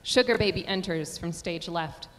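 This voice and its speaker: A woman's voice: lowish voice, moderate pace, a little hiss or hum. Woman narrator in a reverberant hall. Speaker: narrator reading stage directions. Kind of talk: scripted narration at a staged reading. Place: performing arts center stage. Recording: reverberant theater hall, music behind.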